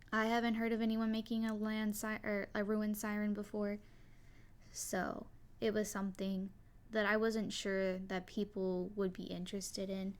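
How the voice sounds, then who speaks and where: A young woman with a soft, quiet voice, casually talking to her viewers about her character design, rambling a little with fillers and restarts. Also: mid-range voice. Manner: rambling, many likes and restarts. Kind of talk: casual solo talk to viewers.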